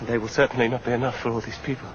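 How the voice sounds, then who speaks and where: A man's voice: medium pitch, little pitch movement, rapid-fire, natural voice. An actor playing a doubtful male disciple in a scripture film. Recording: muffled film soundtrack, faint noise.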